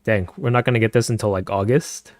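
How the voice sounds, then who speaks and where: A man chatting casually with viewers on a live stream, speaking softly and keeping his voice down at night at home, and laughing often. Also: fast talker, no room sound, studio quality. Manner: soft-spoken, keeps voice low at night.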